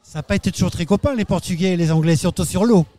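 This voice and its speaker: Man, radio host, playful banter, high voice, mellow tone, associations fair. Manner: jokey, quick banter.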